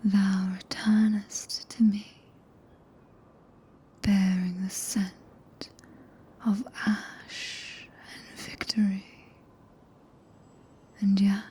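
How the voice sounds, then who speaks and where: A woman with a British accent playing a regal, possessive witch in whispered ASMR roleplay, speaking archaically and imperiously with long pauses, close to the microphone. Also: mid-range voice, little pitch movement, warm tone, heavily acted. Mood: cool, teasing authority. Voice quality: breathy, whispered. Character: regal, possessive witch, cool yet tenderly commanding. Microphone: close binaural ASMR microphone.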